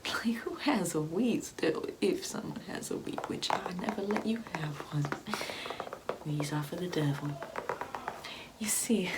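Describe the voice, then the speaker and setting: A woman playing a sanctimonious, Bible-quoting Karen mother in an ASMR comedy roleplay, condescending and preachy, often dropping into a breathy whisper. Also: lowish voice, measured pace, very noisy, lightly acted. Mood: condescending, accusing.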